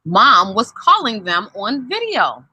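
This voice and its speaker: Female storyteller for kids' picture books, very bright and forceful, lively melodic delivery, pauses to question young listeners. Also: a little high, slightly rough, no echo. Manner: animated, asks the children questions as she reads. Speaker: storyteller reading a children's book aloud to listening children. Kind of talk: expressive read-aloud with conversational asides to children.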